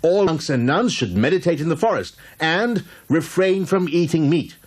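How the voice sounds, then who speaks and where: A man voices a scheming monk in a religious cartoon. He speaks formally and insistently in a raspy, fairly high voice with lively swings, defying his master. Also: moderate pace. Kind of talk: animated religious drama dialogue.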